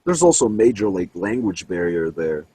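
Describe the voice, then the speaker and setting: A man's voice: sits low, sing-song, normal speed, crowd noise. A man in casual group chat, telling stories with frequent restarts.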